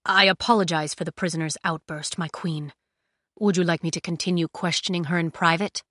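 A woman's voice: fairly low pitch, steady tone, brisk, a bit bright, no room sound. A woman reading aloud, voicing an impatient female interrogator. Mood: cold, impatient.

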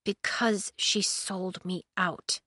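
A woman's voice: medium pitch, animated, moderate pace, a bit bright, dry recording, clean studio sound, natural voice. A woman voicing a guarded young heroine who speaks tersely and wryly. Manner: wry, terse, muttering.

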